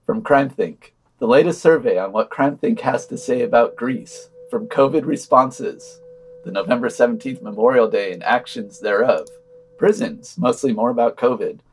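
A man's voice: mid-range voice, moderate pace, soft background music, low-quality recording. A man reading a scripted podcast news digest with wry commentary and dry, sardonic asides.

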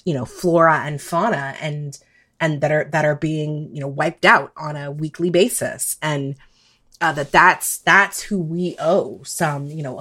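A woman's voice: deep voice, fairly bright tone. A woman in a casual, reflective podcast discussion, deliberating tentatively and repeating words as she builds a point.

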